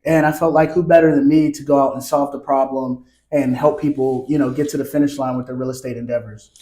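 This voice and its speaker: A hoarse man from Pittsburgh, a guest answering interview questions in an easygoing, conversational way. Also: medium pitch, steady tone, quick pace, dark tone, reverberant. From Pittsburgh, Pennsylvania. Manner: easygoing, laughs easily. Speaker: podcast guest, business founder.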